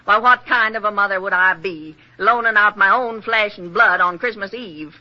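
A woman's voice: medium pitch, moderate pace, natural voice. A woman as a feisty frontier mother, muffled radio. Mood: indignant. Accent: rural American. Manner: homespun, emphatic. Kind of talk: old-time radio western acting. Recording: dull lo-fi old radio recording.

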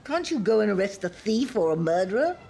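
Feisty, indignant old woman played by an actress, animated. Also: mid-range voice, brisk, creaky, slightly rough, exaggerated voice. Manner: indignant, argumentative. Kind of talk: audio drama acting.

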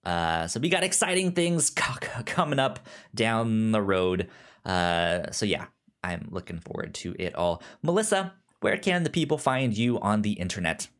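Casual male podcast host, lively pitch swings. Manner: halting, with stuttered word repeats. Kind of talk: casual film podcast chat.

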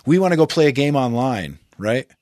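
A man's voice: mid-range voice, animated, fairly quick, a little mellow, no echo, studio quality. A man talking as a podcast guest in casual chat, swearing often and emphatic, checking agreement with "right?".

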